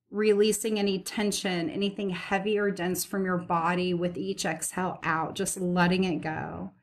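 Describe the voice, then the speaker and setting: A woman leading a guided meditation as its host, speaking soothingly and gently in repeated, unhurried phrases. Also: lowish voice.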